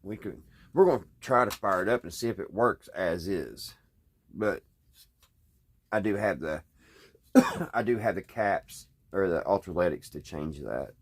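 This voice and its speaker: Guy, folksy, just thinking out loud while he tinkers at the bench. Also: low-pitched, expressive, a little slow, husky. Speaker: hobbyist radio repairer, video host. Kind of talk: casual unscripted hands-on repair vlog. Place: indoors at a workbench.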